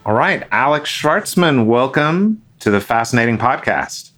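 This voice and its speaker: A man's voice: mid-range voice, very animated, measured pace, a bit bright, faint music behind. A man hosting a podcast, introducing a guest and chatting in a friendly way, with many fillers and restarts. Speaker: podcast host. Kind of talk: casual interview chat.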